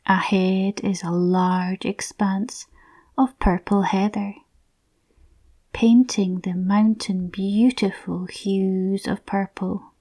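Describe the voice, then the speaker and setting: A woman softly narrating a scripted guided visualisation in a soothing, breathy, near-whispered voice. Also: medium pitch, steady tone, slow pace.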